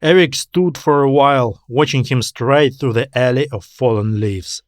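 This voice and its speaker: A man reading a scripted story as an audiobook narrator, in a measured, deliberate storytelling manner. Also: mid-range voice, no room sound, studio quality. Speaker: author narrating own story.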